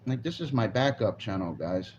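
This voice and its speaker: A man's voice: fairly low pitch, expressive, moderate pace, a little mellow, highs cut off, a little hiss or hum. A forty-year-old man livestreaming, chatting casually and rambling, swearing now and then. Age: 40s. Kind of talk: casual live stream chat. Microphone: phone on a selfie stick.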